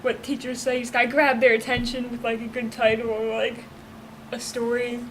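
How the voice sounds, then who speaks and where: Teenage girl, podcast guest, high voice, chatty with lots of "like", faint noise behind. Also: flat delivery, a little fast, a bit bright, low-quality recording. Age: teens. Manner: storytelling with frequent "like". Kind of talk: casual chat.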